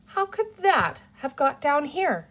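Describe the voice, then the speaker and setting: A woman's voice: sits high, big pitch swings, slow, pitched above the performer's own voice, heavily acted. A woman voicing a bossy, sensible eldest girl in a children's storybook, firm and taking charge. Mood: puzzled.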